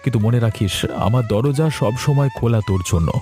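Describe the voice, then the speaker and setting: A man's voice: medium pitch, fairly quick, warm tone, soft background music, natural voice. A man playing a caring, careworn elder brother from a village in a cartoon drama, earnest and coaxing. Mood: tender, coaxing. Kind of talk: Bengali cartoon drama acting.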